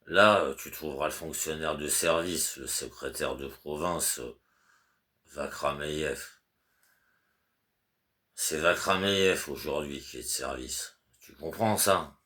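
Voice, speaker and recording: A man's voice: very deep voice, takes it slowly, pushed and bright, exaggerated voice. A man reading aloud and voicing a fussy, anxious middle-aged clerk who stammers and over-explains his orders. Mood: flustered, insistent. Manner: flustered, repeats fillers, fussy over-explaining. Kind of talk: audiobook character reading.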